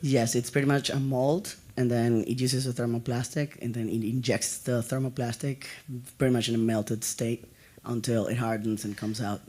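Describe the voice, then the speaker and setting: A man's voice: mid-range voice, little pitch movement, normal speed, warm tone. Man with a cold fielding questions. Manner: apologetic, hesitant with fillers. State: has a cold, losing his voice. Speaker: presenter. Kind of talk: answering panel questions. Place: large conference room. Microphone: handheld mic.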